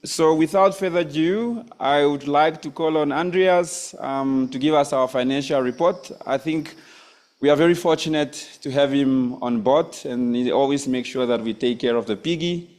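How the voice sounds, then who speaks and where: A man's voice: fairly high pitch, moderate pace, darkish tone. A man chairing a society's annual meeting, formal and polite with a few hesitations. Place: meeting hall.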